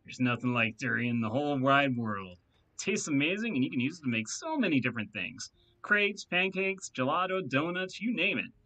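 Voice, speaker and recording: A man's voice: mid-range voice, expressive, normal speed, sharp tone, low-quality recording. A man voicing a rambling, talkative male hacker who boasts and amuses himself in a video game read-aloud. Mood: enthusiastic.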